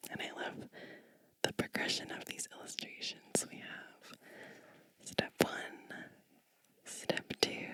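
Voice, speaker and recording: A woman reads a storybook in a sustained whisper, close to the mic in a dry space. Her pace is slow and soothing, and the whisper has a bright, crisp edge. The delivery is gentle bedtime ASMR, with small asides to the listener. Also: dry recording, rough recording. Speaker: ASMR host reading a storybook aloud. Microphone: close microphone.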